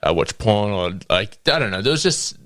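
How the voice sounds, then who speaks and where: Low-voiced hesitant young New Zealand man. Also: sing-song, normal speed, a bit bright, no echo, studio quality. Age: 20s. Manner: hesitant, with frequent ums and laughs. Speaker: podcast guest. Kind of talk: sharing a personal faith testimony.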